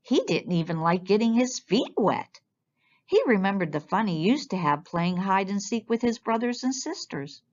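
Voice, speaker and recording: A woman storyteller reading a children's picture book aloud in an expressive style. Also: mid-range voice, moderate pace. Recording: dull, lo-fi sound.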